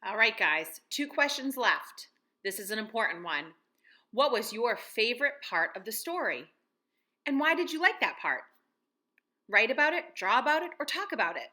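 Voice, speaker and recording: A woman teacher with a bright, highish voice leads a children's read-aloud lesson from a room at home. Her pitch moves in a very animated way as she encourages young listeners and puts simple questions straight to them. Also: normal speed. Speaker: elementary school teacher.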